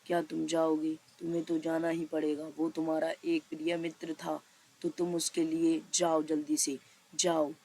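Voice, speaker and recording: A woman's voice: deep voice, monotone, normal speed, a little mellow, a little hiss or hum, pitched below the performer's own voice. A woman voicing a cautious, self-protective village headman in a cartoon story, with a resigned, repetitive way of giving orders. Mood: urging.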